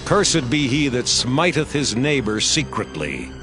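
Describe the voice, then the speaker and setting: A man's voice: mid-range voice, very animated, a little slow, loud music behind the voice. Man as stern priest with sweeping intonation. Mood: stern condemnation. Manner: stern, declamatory. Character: stern Levite priest pronouncing curses. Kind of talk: dramatized King James Bible reading.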